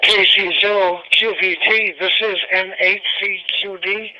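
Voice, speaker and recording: A man's voice: high-pitched, level tone, measured pace, bright, forceful tone. A man operating amateur radio, exchanging call signs, heard through a two-way digital radio transmission. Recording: dull narrow digital radio audio.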